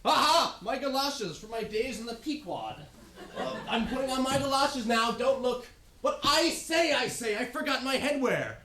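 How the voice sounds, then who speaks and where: A man's voice: very high-pitched, fairly bright tone, echoey room, exaggerated voice. An actor playing a playful husband who narrates his own actions in a mock-heroic way. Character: playful husband, theatrical and wry.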